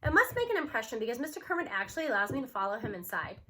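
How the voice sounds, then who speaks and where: A woman reading a novel aloud as narrator, with expressive storybook delivery. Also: a little high, racing.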